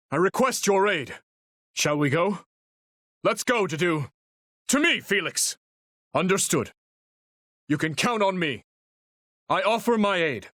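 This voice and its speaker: Noble young warrior prince, game role: courteous, resolute, formal diction, higher-set male voice with sweeping inflection. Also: fairly bright tone, no room sound, studio quality, exaggerated voice. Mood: courteous, ready. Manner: formal, courtly, earnest delivery. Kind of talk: video game battle voice lines.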